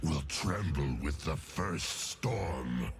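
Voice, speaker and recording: A man voicing a savage, ancient bear demigod for a video game, with a deep gravelly growl and slow, grandiose menace. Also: lively intonation, slight background noise, full character voice. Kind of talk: video game character voice lines.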